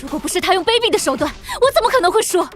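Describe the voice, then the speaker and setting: A woman playing a proud, fierce young noble swordswoman with a haughty manner in an animated series. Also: very high voice, racing, faint music behind. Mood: furious. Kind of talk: animation voice acting.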